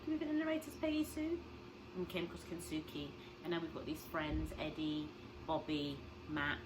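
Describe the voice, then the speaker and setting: Woman teacher reading a kids book out loud, low voice, stops to explain stuff, noisy background. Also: moderate pace. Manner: explains words and story simply for children. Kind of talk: expressive storybook read-aloud with questions to young listeners.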